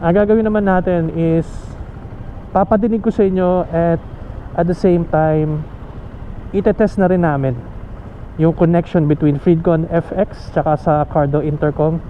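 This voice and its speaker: A man's voice: fairly high pitch, steady tone, normal speed, phone-quality sound, slight background noise. A man with a Filipino accent talking casually as a motorcycle vlogger, in a tutorial style. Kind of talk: casual bilingual tutorial talk.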